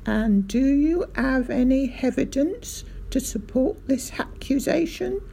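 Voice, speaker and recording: A woman's voice: high voice, lively intonation, creaky, warm tone, slightly rough, pitched above the performer's own voice, exaggerated voice. A woman doing a pompous village sergeant. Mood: officious. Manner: slow, deliberate, officious. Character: pompous village police sergeant, drops and adds h's.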